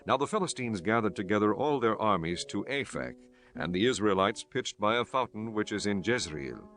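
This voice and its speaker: Low-pitched man narrating scripture. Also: lively intonation, fairly bright tone, soft background music. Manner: measured, formal reading.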